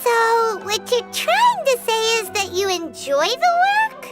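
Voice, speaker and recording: A woman voicing a tiny floating fairy companion, chirpy and cheeky, with quick playful quips. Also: very high-pitched, sing-song, full character voice. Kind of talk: video game voice acting. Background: soft game music.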